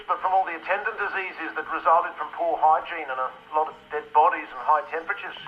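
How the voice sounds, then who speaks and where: An actor playing an Australian male researcher talking gravely over shortwave radio in a radio drama. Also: on the high side, lo-fi sound. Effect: shortwave radio filter. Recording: band-limited, noisy shortwave radio sound.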